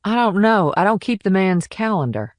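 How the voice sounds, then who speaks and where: A woman's voice: mid-range voice, sing-song, moderate pace, dry recording, clean studio sound, lightly acted. A woman playing a guarded, blunt, no-nonsense small-town cop in audiobook dialogue. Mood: guarded, dry.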